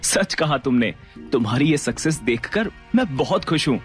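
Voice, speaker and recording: An actor playing a self-assured, calmly defiant husband in an audio drama. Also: male voice, medium pitch, normal speed, faint music behind, lightly acted. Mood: warm, reflective. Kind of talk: Hindi audio drama acting.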